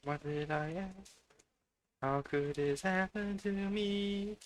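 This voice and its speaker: A man's voice: sits high, flat delivery, takes it slowly, a little hiss or hum. A man doing casual let's play commentary on a livestream, often muttering to himself as he plays. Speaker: game livestreamer.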